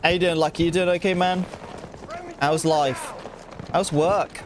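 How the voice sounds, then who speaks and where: A man's voice: fairly high pitch, fairly even intonation, a little slow, slight background noise. A young man livestreaming a game, chatting casually with viewers and stuttering now and then. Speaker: gaming livestreamer. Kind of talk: casual livestream chat while playing. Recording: game sound mixed loud behind voice. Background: game gunfire and explosions.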